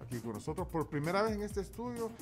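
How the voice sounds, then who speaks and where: A man's voice: high voice, very animated, quick pace, music in the background. A man hosting a radio interview in a studio, friendly and often searching for words with repeated fillers. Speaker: radio host.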